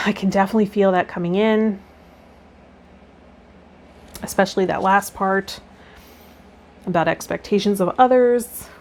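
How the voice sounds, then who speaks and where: A woman's voice: mid-range voice, unhurried, long pauses, a little mellow, slight background noise. A woman hosting a tarot video, reading card meanings aloud and adding casual commentary.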